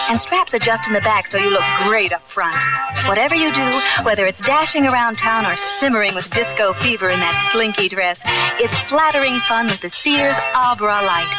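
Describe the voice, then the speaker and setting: Female radio ad announcer over loud music. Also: on the high side. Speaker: commercial announcer. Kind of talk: scripted radio commercial announcing.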